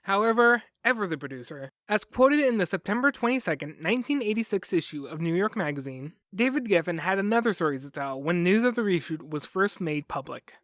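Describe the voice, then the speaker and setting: A male podcast host narrates a scripted documentary in a high, very animated voice. He slips in wry asides, recorded dry and close with faint music behind him. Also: fairly quick, hardly any pauses, no room sound.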